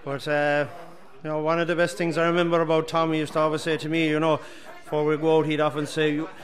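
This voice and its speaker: A middle-aged Irish man, a former midfielder, speaking hesitantly with many pauses in a pub. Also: on the high side, completely flat delivery. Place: busy pub, indoors.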